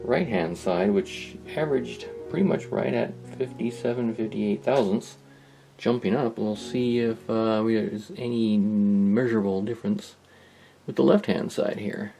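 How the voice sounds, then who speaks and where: A man's voice: fairly low pitch, unhurried, creaky, warm tone, soft background music. A man narrating a hobby workshop project informally, thinking aloud in a hesitant, rambling, musing way. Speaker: host of a hobby electronics restoration video.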